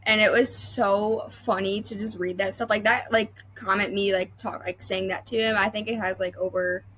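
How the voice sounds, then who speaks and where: A woman's voice: mid-range voice, fairly even intonation, moderate pace, fairly bright tone, a little hiss or hum. A young adult woman welder talking as a podcast guest, chatty and full of fillers and restarts. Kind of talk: casual interview chat.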